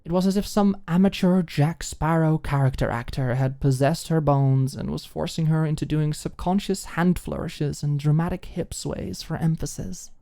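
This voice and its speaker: Low, mellow female audiobook narrator. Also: normal speed, hardly any pauses. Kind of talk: scripted story narration, ASMR audiobook.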